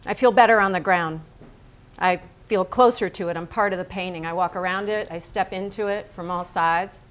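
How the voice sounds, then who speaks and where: A woman acting in a staged reading as a blunt, self-assured American male painter, speaking in deliberate, declarative phrases. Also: lowish voice, fairly even intonation, fairly bright tone, natural voice. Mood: intense, absorbed. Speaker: stage actor.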